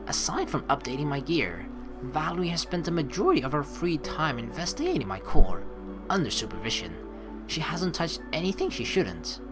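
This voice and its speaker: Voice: mid-range voice, very animated, normal speed. A let's play host from the Netherlands, reading a visual novel aloud and adding casual commentary. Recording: game music behind the voice. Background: game music.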